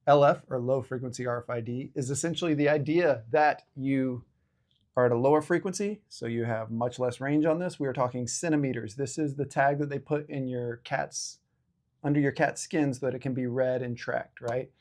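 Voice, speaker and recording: A man in his forties presents an educational video series in a casual, conversational way. His voice sits at a medium pitch, and he explains things informally, with a self-deprecating touch. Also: normal speed, darkish tone. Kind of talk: casual explainer talk to camera.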